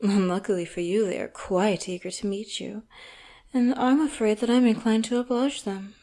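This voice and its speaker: A woman's voice: mid-range voice, close to an everyday voice. A woman playing an elegant, condescending villain interrogator in an audio roleplay, speaking in a theatrical, mock-polite, taunting way. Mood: condescending, patient. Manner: slow, theatrical, mock-polite, taunting, drops into hushed asides. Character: elegant, wealthy villain boss, coolly menacing and condescending interrogator. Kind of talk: audio roleplay, scripted monologue to the listener.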